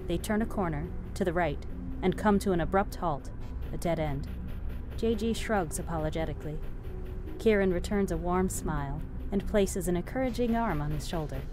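A woman's voice: on the low side, moderate pace. A woman narrating screenplay stage directions as scripted narration. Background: music.

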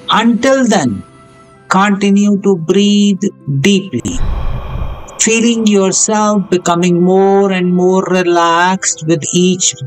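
A meditation guide speaking in a soothing, measured way with deliberate pauses. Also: mid-range voice, poor audio. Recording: music bed behind the voice. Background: background music.

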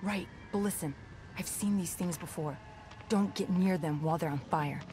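A woman's voice: on the low side, normal speed, background noise, close to an everyday voice. An actress playing a tough, wry female survivor in a video game, talking in a brash, joking way. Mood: warning. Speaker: game character.

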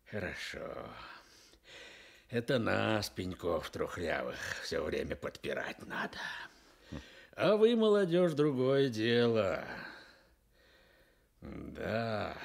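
Tired older country foreman, husky creaking voice, slow, folksy, kindly, rueful, radio play. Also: lowish voice, exaggerated voice. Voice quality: gravelly, husky. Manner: folksy, mildly rueful, repeating himself. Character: weary, kindly ageing rural timber station chief. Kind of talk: Soviet radio drama acting.

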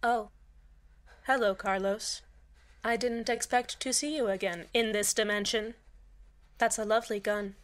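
A woman's voice: on the high side, fairly even intonation, unhurried, pauses often, close to an everyday voice. A woman playing a falsely cheerful, menacing community radio host in a scripted audio drama, soothing, condescending and polite. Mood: pleasant, polite. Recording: music bed under the voice.

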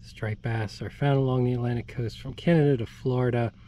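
A man's voice: medium pitch, fairly even intonation, a little fast, mellow, slight background noise. A middle-aged man casually narrating a hike outdoors, chatty and easygoing, reading signs aloud as he walks. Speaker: hiking vlogger. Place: outdoors on a farm by a bay.

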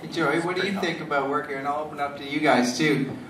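A man moderating a convention panel, quick and joking. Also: fairly high pitch, steady tone. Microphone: handheld mic, far camera. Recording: echoey hall, distant camera, loud noise.

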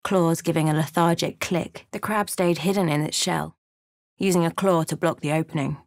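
A woman narrating a fantasy game as a solemn storyteller, in a measured, dramatic manner. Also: deep voice, fairly even intonation, no room sound, studio quality.

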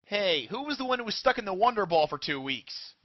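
Man doing a high, brash cartoon ninja boy. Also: sing-song, a little fast, no echo. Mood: indignant. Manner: brash, argumentative. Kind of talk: comedic anime parody dub. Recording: dull, dry lo-fi home dub.

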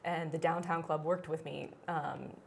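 A woman's voice: low voice, fairly even intonation, a little slow, creaky, a little hiss or hum. A woman around thirty answering interview questions in a TV studio, chatty and full of "um" fillers. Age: 30s. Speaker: interview guest. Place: small TV studio set.

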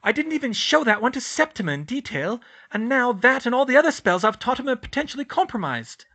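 A man voicing a clever, anxious, quick-tempered teenage witch girl, in high, strained outbursts. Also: very animated, a bit bright, exaggerated voice. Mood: outraged.

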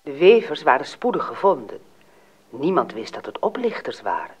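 A man narrating a fairy tale as a dramatic storyteller, with a husky voice. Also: on the high side, very animated, moderate pace, faint music behind.

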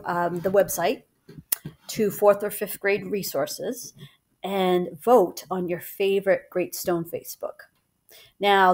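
A woman reads a children's book aloud in a conversational way, as a teacher would. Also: on the low side. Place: small room at home.

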